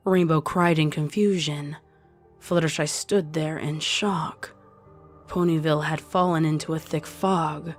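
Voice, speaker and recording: A woman's voice: low-pitched, steady tone, measured pace, soft background music. A woman reading scripted story narration as the narrator.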